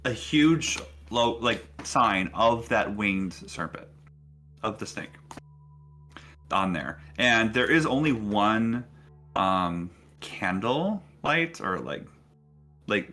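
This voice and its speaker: A man narrating a tabletop game casually as game master, halting and thinking aloud as he goes. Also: lowish voice, sharp tone.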